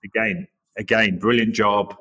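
An Englishman in his forties from the Norwich area, chatting as an interview guest in a relaxed, rambling way with many restarts. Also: on the low side, measured pace, breathy edge. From England, Norwich area. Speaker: guest, creative director. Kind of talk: casual interview chat.

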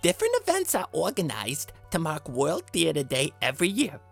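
Man playing a theatrical dad with wildly swooping pitch. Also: wildly swooping pitch, normal speed, vocal fry, music in the background, full character voice. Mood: earnest, effusive. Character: theatrical, earnest middle-aged dad. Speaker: podcast segment presenter. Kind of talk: scripted segment presentation.

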